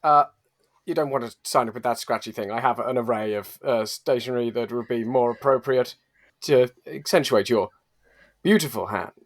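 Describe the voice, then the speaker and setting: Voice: mid-range voice, moderate pace, lightly acted. An actor playing an earnest, awkward hospital radiologist with formal, hesitant phrasing in an improvised comedy audio drama.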